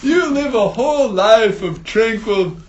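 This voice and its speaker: A man voicing an excitable river fish in a told story, loud and high with laughing exclamations. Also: a little slow, highs cut off. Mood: amazed envy. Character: excitable chattering river fish, male, incredulous. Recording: echoey room.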